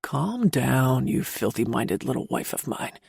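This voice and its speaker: A woman narrator voicing a confident, playful adult man, the husband, in a low teasing drawl for an audiobook. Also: sing-song, measured pace, vocal fry, a little mellow, slightly rough, no room sound, exaggerated voice. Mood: teasing, commanding. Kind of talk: audiobook character voice.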